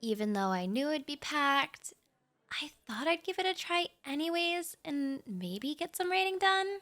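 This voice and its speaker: A young woman plays a bashful writer who shares her table at a café, rambling softly with little nervous laughs. She talks slowly in a gently raised voice. The sound is close and dry, with no room echo. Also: sits high, very animated, exaggerated voice. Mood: reflective. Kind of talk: audio roleplay.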